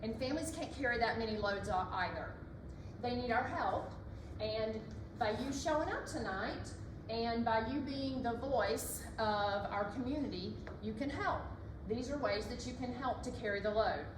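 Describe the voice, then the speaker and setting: Loud earnest woman addressing a room. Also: a little high, moderate pace. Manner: earnest, encouraging, loud speaking voice. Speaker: community speaker. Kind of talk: public address. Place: library meeting room. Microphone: not using the microphone. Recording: echoey room from far off, noisy.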